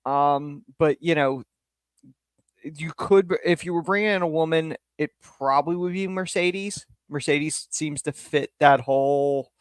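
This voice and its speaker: A man's voice: fairly high pitch, a little slow, clear, resonant tone, no echo, studio quality. A man co-hosting a casual wrestling podcast, thinking aloud in an earnest way, with frequent fillers. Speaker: podcast co-host. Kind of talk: casual opinion chat.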